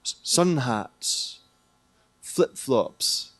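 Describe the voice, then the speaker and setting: A man giving a lively, jokey talk at a conference hall, often asking the audience questions. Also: medium pitch, extremely slow. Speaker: conference presenter, teacher trainer.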